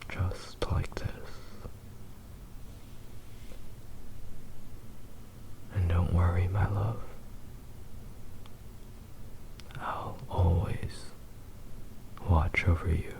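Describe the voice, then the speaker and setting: A man acts out a possessive fox-boy lover in an intimate ASMR roleplay. He whispers very low with a crackly, gravelly edge, taking his time. The delivery is tender and soothing, obsessively devoted to the listener. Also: flat delivery, slow pace, exaggerated voice. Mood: possessive, soft.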